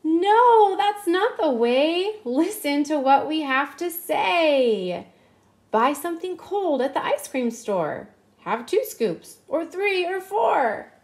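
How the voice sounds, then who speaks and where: A woman's voice: sits high, sing-song, takes it slowly, a little mellow, voice pushed up, exaggerated voice. A woman voicing eager, helpful little children in a storybook, earnest and exclaiming. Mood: urgent.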